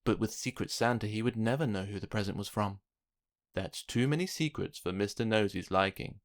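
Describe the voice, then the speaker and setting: A man's voice: on the low side, fairly quick. Man reading a children's storybook aloud. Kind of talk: scripted storybook narration for children.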